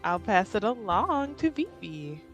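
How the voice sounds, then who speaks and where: A woman's voice: mid-range voice, very animated, a little slow, soft background music. A woman playing in a tabletop game stream, introducing her character in sweary, casual chat. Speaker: player in an actual-play game.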